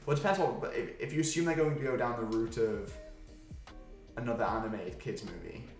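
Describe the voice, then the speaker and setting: A man's voice: mid-range voice, a little fast. A man co-hosting a podcast, talking casually and conversationally. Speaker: podcast co-host. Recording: echoey room, music underneath.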